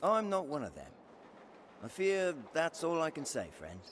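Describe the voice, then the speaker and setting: An actor playing a wily, mercenary reader of old scripts in a fantasy game, with colloquial archaic speech. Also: male voice, on the high side, wildly swooping pitch, unhurried, a bit bright, a little hiss or hum, exaggerated voice. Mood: matter-of-fact. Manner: colloquial, archaic, swooping delivery.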